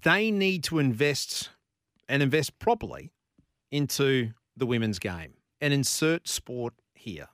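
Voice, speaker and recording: An Australian man hosts a sports talkback show in a conversational, questioning way. His voice sits at an ordinary middle pitch. The sound is close and dry. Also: big pitch swings, takes it slowly, a bit bright, no echo. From Brisbane, Australia. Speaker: radio sports host. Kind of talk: talkback radio monologue.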